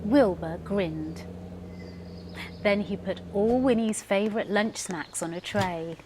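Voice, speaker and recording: A woman's voice: mid-range voice, takes it slowly, background noise. A woman professional storyteller narrating a children's story expressively, leaning into the sound words. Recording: music behind the voice. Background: music.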